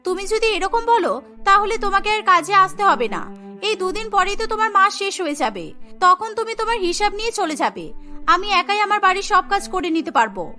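Woman playing fed up cartoon housewife, very high sharp voice, complains in a firm indignant way. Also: very animated, brisk, soft background music, full character voice. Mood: stern.

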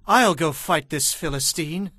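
A man's voice: a little high, measured pace, bright, forceful tone, slightly rough, dry recording, clean studio sound, exaggerated voice. A young man playing a bold, earnest shepherd boy of faith in a dramatized audio Bible, with a declamatory delivery. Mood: eager.

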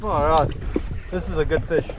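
A man's voice: on the high side, steady tone, moderate pace. A young man fishing from a jet ski, commentating live with whoops and exclamations. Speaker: fishing vlogger. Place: open water on a jet ski, outdoors. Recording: windy outdoor camera audio.

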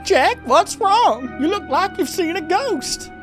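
A seductive succubus woman, played by a man in a visual novel, speaks high over background music. The delivery is sultry and theatrical, smug and taunting, with a predatory edge. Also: animated, slow pace, exaggerated voice. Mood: feigned concern. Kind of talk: reading visual novel dialogue aloud. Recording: music behind the voice.

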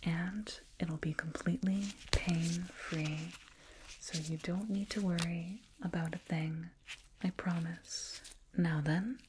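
A woman performing a whispered ASMR roleplay as a soothing, condescending alien dentist, breathy and close to a binaural microphone, repeating words rhythmically. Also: fairly low pitch, steady tone, slow pace, background noise. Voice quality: breathy, mostly unvoiced whisper. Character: soothing, condescending female alien dentist tending a human patient.

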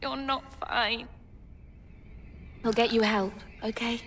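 A woman's voice: on the high side, fairly even intonation, takes it slowly, in a large hall. An actress voicing an anguished, headstrong, fiercely protective woman in a zombie game, imperious and emotionally intense. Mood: tender, pleading. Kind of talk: emotional video game acting.

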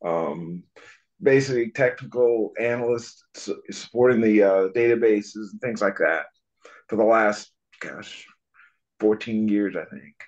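An older man chatting casually in a halting way, searching for his words, over a lo-fi video call. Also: lowish voice. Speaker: group participant. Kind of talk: casual chat. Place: home kitchen, indoors, video call. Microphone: device mic on a video call. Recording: dull, lo-fi video-call audio.

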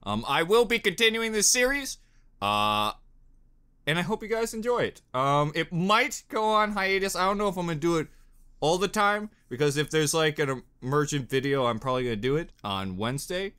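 Young male reaction host, lively swinging pitch, bright tone, joking and chatty. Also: normal speed, clear, resonant tone. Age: young adult. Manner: animated, joking. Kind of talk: casual reaction commentary. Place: small cluttered bedroom. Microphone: desktop condenser microphone.

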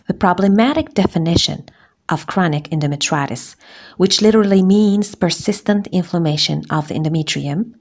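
A woman from Algeria, a gynecologist, giving a scripted medical lecture as a voice-over on slides, measured and precise. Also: lowish voice, gravelly, darkish tone. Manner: measured, deliberate, precise enumeration.

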